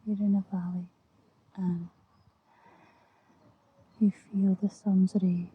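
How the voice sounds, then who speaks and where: A Scottish woman speaks softly and breathily, often in a whisper, gently and soothingly guiding an ASMR visualisation with long pauses. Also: medium pitch, flat delivery, slightly rough. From Scotland. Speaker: ASMR host. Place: outdoors, lying on grass. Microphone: close-up. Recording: muffled lo-fi outdoor recording, faint noise.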